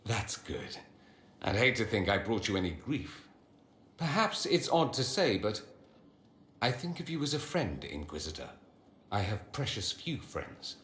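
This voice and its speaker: An actor playing a witty, proud mage who speaks in a theatrical, sardonic and articulate way, guarded but loyal. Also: male voice, fairly low pitch, very animated, a little slow, fairly bright tone. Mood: earnest. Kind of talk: video game dialogue acting. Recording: roomy game capture, faint background noise.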